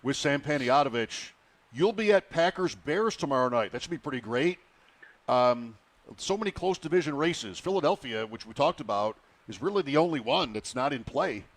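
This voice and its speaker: A man hosting a sports radio show, asking questions in a relaxed, conversational way. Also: medium pitch, moderate pace, no echo. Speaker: radio host.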